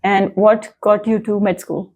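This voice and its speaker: A woman's voice: medium pitch, little pitch movement, unhurried, a little mellow. A woman hosting an interview, friendly, asking short questions and answering with frequent listening replies. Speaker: interview host. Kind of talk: casual interview.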